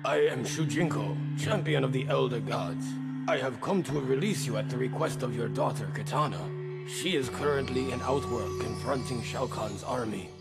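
An earnest old champion acted by a man with a gravelly voice, speaking in formal, measured phrases. He sounds like a courteous video game hero on a long quest, with loud music behind him. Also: medium pitch, close to an everyday voice. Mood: dutiful, earnest. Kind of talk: video game cutscene acting.

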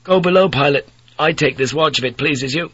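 A feverish, resentful young sailor, as a male narrator puts him on, his voice pushed high and strained with complaint. The husky tone sits close and dry, grumbling at every order. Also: a little fast, fairly bright tone, no echo. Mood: weary. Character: sick, embittered young Dutch sailor.

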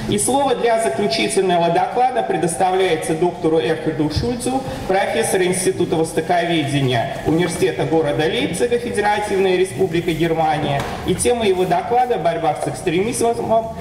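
Man with a high voice, formal moderator, distant, echoing hall. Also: lively intonation, a little fast, few pauses, background noise. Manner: formal, announcing. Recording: echoey hall from afar.

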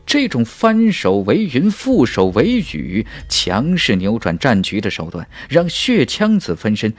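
A man's voice: mid-range voice, wildly swooping pitch, a little slow, no room sound. A man reading a fantasy audiobook as a scripted, dramatic narration with emphatic storytelling. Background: background music.

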